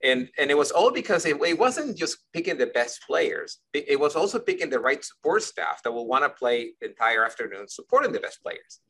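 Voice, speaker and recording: Bright-voiced man from Ecuador, rambling. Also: fairly high pitch, sing-song, brisk, few pauses, slightly rough. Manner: rambling, repeats words, asks "you see what I mean?". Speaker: guest, company leader. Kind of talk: conversational interview answers.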